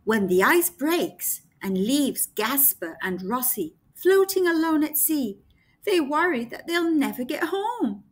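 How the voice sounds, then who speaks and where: A woman narrates a picture book for small children. Her voice is high and very expressive in pitch, yet she keeps a calm, unhurried pace. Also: no room sound. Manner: calm, deliberate storyteller for young children. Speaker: children's storybook reader. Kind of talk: read-aloud story narration.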